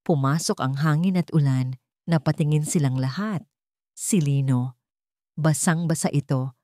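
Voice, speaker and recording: A woman's voice: low voice, a little slow, mellow, no room sound, studio quality. A woman narrating a scripted story as a dramatic storyteller.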